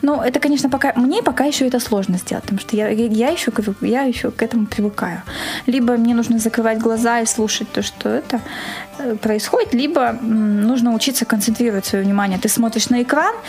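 A woman's voice: on the high side, quick pace, a little mellow, soft background music. A woman speaking as a guest in a conversational radio interview, earnest and enthusiastic in the way she talks. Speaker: guest, organizer of a screening.

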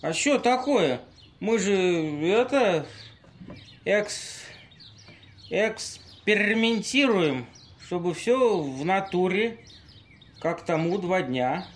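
A man playing a drunken, pompous homeless man in an amateur audio play, halting and stumbling over long words. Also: high-pitched, animated. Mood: tipsy, earnest.

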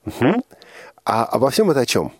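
A man's voice: mid-range voice, very animated, normal speed, slightly rough, no room sound. A man hosting a live radio interview, prompting his guest with short questions in an encouraging manner. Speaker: radio host.

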